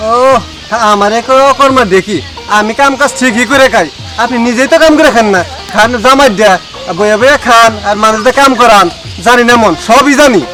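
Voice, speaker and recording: A man's voice: extremely high pitch, fairly quick, music in the background. A man with a Bangladeshi accent playing a touchy, poor in-law in a comedy drama, indignant and quarrelsome. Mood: defiant. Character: touchy, combative poor parent-in-law, feuding with rival. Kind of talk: Bengali comedy drama acting.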